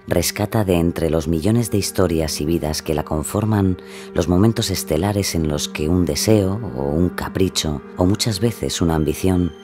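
An actor as a solemn, wry narrator delivering dramatic scripted narration for an audio drama. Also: male voice, rumbling low.

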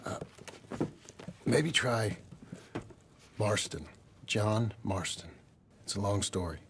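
An actor playing a gruff, weary, evasive American frontier father in a video game, talking curtly in folksy phrases. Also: fairly low pitch, slow. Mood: hesitant, guarded. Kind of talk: naturalistic video game acting. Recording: game dialogue over wagon and outdoor noise.

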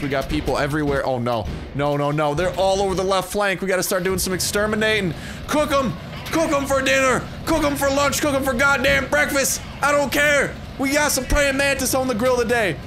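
Excitable young man narrating a game. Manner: excitable, jumps into high yelps when the battle turns. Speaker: gaming YouTuber. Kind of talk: casual gameplay commentary.

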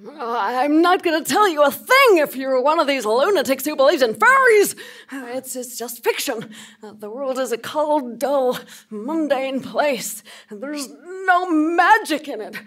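Woman acting a high, haughty, cynical professor. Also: animated, a little slow, fairly bright tone. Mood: scornful. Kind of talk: live comic audio-drama acting. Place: small theatre stage before an audience.

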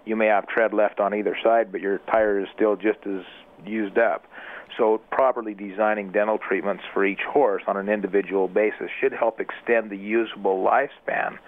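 A male expert guest speaking in a measured, methodical way, explaining the details of his work. His voice is somewhat low and reaches the show over a thin, tinny phone line. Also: steady tone. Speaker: equine dentist guest. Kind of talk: explanatory radio interview.

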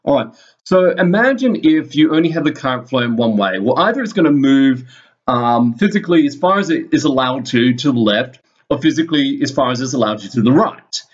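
A man teaching an informal screen-recorded lesson, explaining step by step in a halting way and thinking aloud. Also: medium pitch, animated, dull audio. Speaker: physics teacher. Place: indoors, screen-recorded voice-over at a computer.

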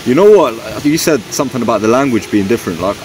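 A man's voice: medium pitch, lively intonation, racing, darkish tone. A young man chatting casually as the host of a video, recorded outdoors in a park. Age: young adult. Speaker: host of a history video channel. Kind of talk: casual chat to camera and guest. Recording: outdoor park noise, distant traffic.

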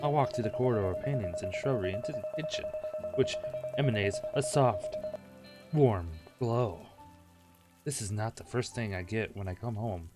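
A man with a fairly low voice reads game text with mock drama, then drops into jokey commentary. He riffs on the lines as he goes, and music plays clearly behind him. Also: normal speed. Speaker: gaming video host reading a visual novel. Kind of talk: comic read-aloud of game text with joking asides. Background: loud background music.

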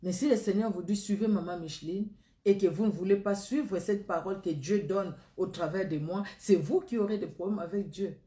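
A woman's voice: mid-range voice, normal speed, mellow, echoey room. A middle-aged woman with a Congolese accent, preaching a sermon and leading a spoken prayer in an earnest, repetitive way. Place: indoor room against a plain wall.

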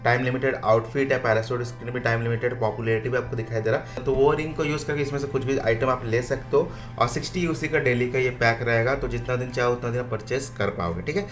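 A man's voice: mid-range voice, steady tone, fast, hardly any pauses, faint music behind. A man casually walking viewers through game events step by step in an instructional way. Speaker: gaming video host. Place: voice-over with music behind.

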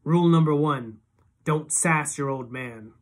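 A reader doing a slow, menacing father. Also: medium pitch, close to an everyday voice. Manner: slow, quiet menace, deliberate. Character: cold menacing ex-convict father.

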